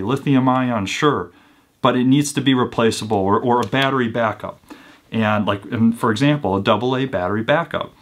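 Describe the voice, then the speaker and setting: A man in his thirties giving a casual product review to camera indoors, chatty and informal, often checking in with the listener. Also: medium pitch. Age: 30s. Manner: informal, conversational, checks in with "right?". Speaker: host of a preparedness gear channel. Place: small indoor room with built-in shelves.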